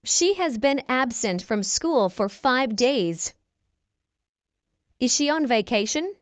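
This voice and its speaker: A woman teaching English, reading short practice dialogues slowly and clearly and repeating each exchange for learners. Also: fairly high pitch, expressive, no echo.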